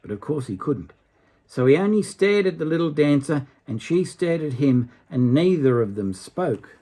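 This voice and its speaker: A man's voice: fairly high pitch, very animated, normal speed, warm tone. An older man reading a children's story aloud expressively, dramatically, with asides to a young listener. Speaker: storybook reader.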